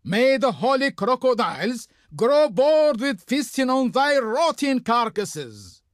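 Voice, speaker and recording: Very high-pitched, haughty Egyptian envoy. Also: male voice, sing-song, takes it slowly, dry recording, studio quality, exaggerated voice. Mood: haughty menace. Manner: grandiose, menacing. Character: haughty, menacing Egyptian envoy declaring war. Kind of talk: theatrical video game voice acting.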